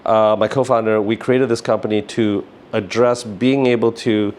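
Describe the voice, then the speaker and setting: A middle-aged man with a fairly low voice talks through his thoughts as an interview guest. His delivery is casual and meandering, full of conversational fillers. There is faint ambient noise behind him. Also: level tone, normal speed, darkish tone. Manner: rambling, often says "you know" and "like". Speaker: interview guest, business founder.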